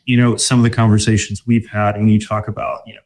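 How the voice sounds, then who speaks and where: A man from Michigan speaking as a guest in a casual interview, relaxed and thoughtful, with many fillers and restarts. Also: lowish voice, fast talker. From Michigan, USA. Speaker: guest, corporate mobility director.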